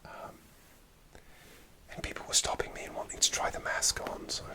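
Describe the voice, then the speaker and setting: A man whispering a rambling, hesitant story as an ASMR creator, speaking right up close to the microphone with frequent restarts. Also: moderate pace.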